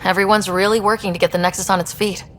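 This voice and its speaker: A woman's voice: mid-range voice, little pitch movement, quick pace, fairly bright tone, soft background music, close to an everyday voice. A woman playing an inquisitive young space explorer in a video game, speaking in a direct, casual, questioning way. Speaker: player protagonist. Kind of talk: scripted video game dialogue.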